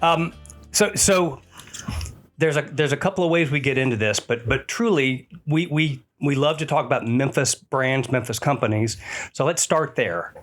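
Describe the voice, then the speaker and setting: Man hosting a podcast, upbeat, easygoing, restarts his sentences. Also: mid-range voice. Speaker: podcast host. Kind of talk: casual chat.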